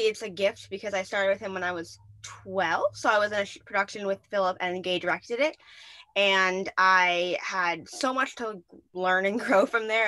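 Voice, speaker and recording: A woman's voice: mid-range voice, sharp tone, no echo, poor audio. A seventeen-year-old young woman giving earnest, enthusiastic, conversational answers as an interview guest. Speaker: teen actor, interview guest.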